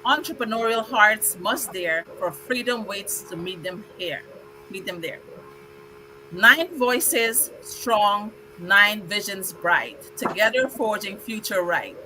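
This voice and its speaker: A woman's voice: mid-range voice, lively intonation, slow pace, background noise. A middle-aged woman from Guyana hosting a live online show, welcoming guests and reciting a rhyming poem in an upbeat, rhythmic way. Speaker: host.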